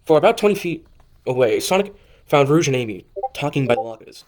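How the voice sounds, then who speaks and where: A man's voice: on the high side, lively intonation, brisk, a little mellow. A man doing an amateur read of fan fiction as narrator, tripping over lines and correcting himself. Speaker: narrator and maker of the audio drama.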